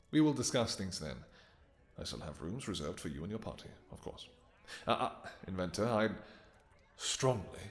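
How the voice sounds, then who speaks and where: A man's voice: fairly low pitch, lightly acted. A man playing a possessive, courtly vampire king in a romantic fantasy audio roleplay, speaking silky endearments with mock-polite menace. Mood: cold courtly disdain.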